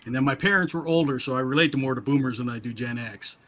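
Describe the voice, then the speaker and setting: A man's voice: mid-range voice, quick pace, a bit bright. A man in his fifties, co-hosting a casual drawing stream, reading out viewer comments and chuckling often. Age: 50s. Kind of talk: casual chat while drawing.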